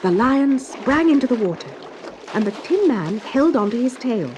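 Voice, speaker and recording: A woman reading a children's story as narrator, in an expressive, dramatic storytelling manner. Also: a little high, normal speed, a little hiss or hum.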